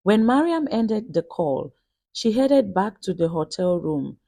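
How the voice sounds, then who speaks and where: Woman narrating a story, creaky close voice. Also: mid-range voice, very animated, a little slow, mellow, no echo.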